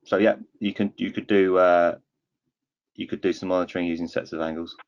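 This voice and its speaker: A man's voice: low voice, fairly even intonation, fairly quick. A man on a webinar panel answering technical questions hesitantly, with restarts and tentative wording. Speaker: surveying equipment expert. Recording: dull lo-fi call audio.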